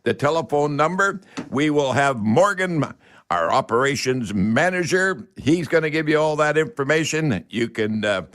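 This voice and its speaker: Halting, folksy radio host, a man. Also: on the high side, animated, fairly bright tone. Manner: folksy, addresses listeners as folks; halting, rambling delivery with many hesitations. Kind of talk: live call-in radio talk.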